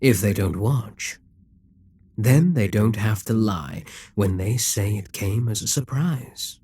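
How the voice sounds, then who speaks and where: A man playing a smug, dominant Demon King in a dramatic ASMR roleplay, speaking in a husky, taunting, persuasive way with deliberate pauses. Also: fairly low pitch, animated, dark tone, no room sound, heavily acted. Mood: scornful, calculating.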